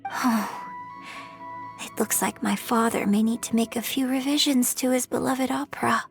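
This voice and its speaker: Refined, higher-voiced young lady. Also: steady tone, moderate pace, creaky, soft background music. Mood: musing, sorrowful. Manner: refined, courteous, musing. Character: polite, thoughtful young female opera performer. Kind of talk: video game voice acting.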